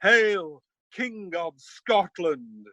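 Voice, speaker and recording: Righteous warrior nobleman , played by a man: higher male voice, very deliberate, declamatory radio drama verse. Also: very animated, sharp tone, muffled recording, heavily acted. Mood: triumphant. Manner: emphatic, declamatory. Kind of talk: radio play Shakespeare acting. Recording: home recording over video call.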